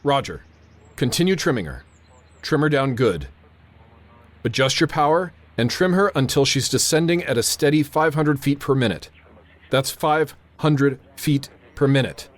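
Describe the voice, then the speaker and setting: An actor playing a tense, authoritative airfield controller in a radio drama, narrating and giving orders with clipped urgency. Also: male voice, medium pitch, expressive, moderate pace, close to an everyday voice. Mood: stern, insistent. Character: tense, commanding male airfield tower controller narrating a crisis.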